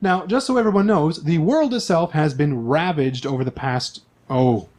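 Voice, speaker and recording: A man's voice: on the high side, normal speed, darkish tone. A man speaking as host and game master, explaining things to players and listeners in a chatty, casual way.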